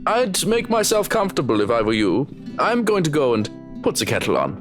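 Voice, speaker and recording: A curt male butler with a dry, clipped delivery and a pitch that swings up and down, as a man voices him. He sounds a little higher than an ordinary man, over soft music. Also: wildly swooping pitch, a little fast.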